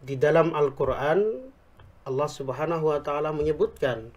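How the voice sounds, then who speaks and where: A man explaining religious teaching on a talk show, speaking Indonesian with a Javanese accent picked up in Yogyakarta, quoting Arabic scripture in a measured way. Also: on the high side, fairly even intonation. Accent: Javanese-tinged Indonesian picked up in Yogyakarta. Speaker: Islamic preacher, guest.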